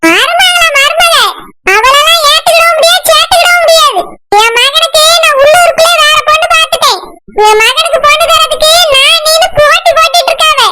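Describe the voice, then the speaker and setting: A woman voicing a haughty, scolding middle-aged mother-in-law in a shrill, contemptuous manner. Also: brisk. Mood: scornful. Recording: loud background noise.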